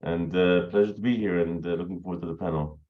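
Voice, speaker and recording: A croaky man with a cold introducing himself on a panel, courteous and halting. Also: sits low, steady tone, dull audio. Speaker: sales director panelist.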